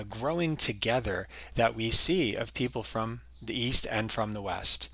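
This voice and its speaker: A man's voice: mid-range voice, bright, forceful tone, dry recording. A man giving a recorded history lecture, explaining patiently with some fillers and restarts.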